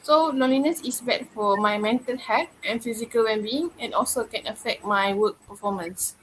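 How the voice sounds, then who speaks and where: Malaysian woman, 20s, student interviewer, measured and careful, higher register. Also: a little hiss or hum, rough recording. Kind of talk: prepared class interview answers.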